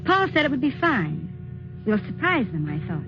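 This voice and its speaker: An actress playing an earnest, nostalgic young married woman in a vintage radio drama, speaking with deliberate dramatic diction. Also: high voice, sing-song. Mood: grave, haunted. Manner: deliberate, dramatic first-person narration. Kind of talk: 1940s radio drama acting. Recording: dull vintage radio recording with music.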